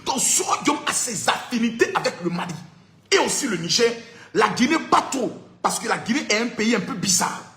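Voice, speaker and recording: Lively high-voiced man. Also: a little fast, roomy sound, soft background music. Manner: lively, sing-song, laughs while speaking. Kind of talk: animated political talk.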